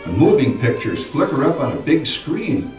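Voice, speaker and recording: A man's voice: mid-range voice, big pitch swings, moderate pace, darkish tone, lightly acted. An actor playing a chipper, marveling man of a bygone era, narrating a theme-park show. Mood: proud, chipper. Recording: echoey, distant show soundtrack, faint music.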